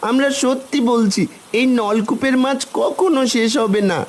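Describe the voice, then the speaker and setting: A woman's voice: a little high, normal speed, natural voice. A woman voicing a kindly, helpful magic fish, an older brother in a cartoon fairy tale, earnest and reassuring. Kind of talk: animated Bengali fairy-tale cartoon dialogue.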